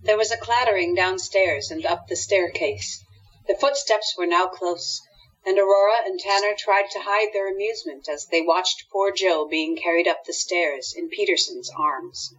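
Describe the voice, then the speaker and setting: A woman reading scripted narration for an audio drama in a husky voice. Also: on the low side, normal speed, a bit bright, lo-fi sound.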